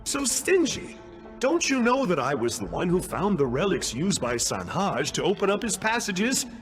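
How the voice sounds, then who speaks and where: A man's voice: high voice, huge pitch swings, moderate pace, faint music behind. A man voicing a smug, self-important youthful clerk in a video game, wordy and pompous in his theatrical delivery. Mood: wheedling, aggrieved.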